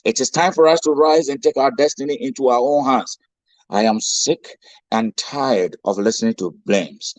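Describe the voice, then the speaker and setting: A man's voice: medium pitch, moderate pace, no echo, rough recording. A man from Ghana with a Ghanaian accent, giving a rousing speech as a guest speaker, full of rhetorical questions and repetition. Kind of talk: impassioned speech.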